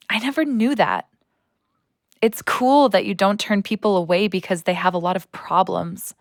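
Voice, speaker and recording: A woman playing an earnest, supportive daughter in an audio play, gentle and sincere. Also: medium pitch, dry recording, clean studio sound.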